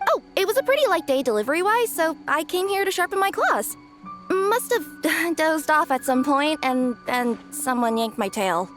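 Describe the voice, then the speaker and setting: A high-voiced cat yokai drifts between sleepy pauses and bright, lively lines. She is easygoing and a little hesitant, dotting her talk with fillers. Faint game music sits behind her. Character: friendly cat yokai woman, sleepy courier. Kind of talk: video game voice acting.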